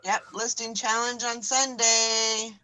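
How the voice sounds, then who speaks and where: A woman hosts a casual group chat with a bright, forward tone and a slight hoarseness. She talks in an easy, chatty way, stretching her fillers. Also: a little high, little pitch movement, slow, slight background noise, low-quality recording. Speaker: show host.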